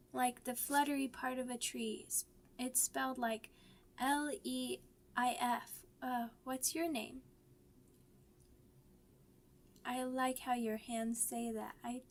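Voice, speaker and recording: Young werewolf pup, ASMR audio drama: very high, slow, halting childlike delivery, sweet and timid. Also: female voice, slight background noise. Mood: shy, curious. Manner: childlike, halting, stammering, simple words.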